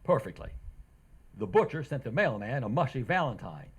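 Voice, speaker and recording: Bewildered radio-comedy husband played by a man, husky, with huge swoops in pitch. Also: big pitch swings, normal speed. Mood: exasperated confusion. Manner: incredulous questioning. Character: bewildered, incredulous husband, dryly exasperated. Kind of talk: old-time radio comedy acting.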